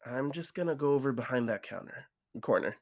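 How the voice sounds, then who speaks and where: Guy doing a low chill raccoon friend from a visual novel, reassuring, kinda guarded, dry close sound. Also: animated, brisk, dry recording, close to an everyday voice. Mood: casual. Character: calm, reassuring male raccoon friend, watchful.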